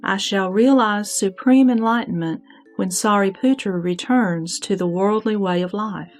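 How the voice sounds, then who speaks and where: A woman voices a heavenly goddess in a sutra audio drama, serene and sure of her wisdom. She speaks at a medium pitch, measured and instructive, with calm authority. Music plays behind her. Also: natural voice. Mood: calm, assured. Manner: measured, didactic, declamatory. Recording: background music under the voice.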